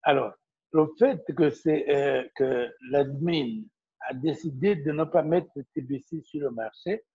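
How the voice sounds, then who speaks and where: A man, a university professor, speaking as a guest on an online call in a measured way, with many fillers. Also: fairly high pitch, warm tone, breathy edge, no room sound. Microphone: video call. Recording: dry, muffled call audio.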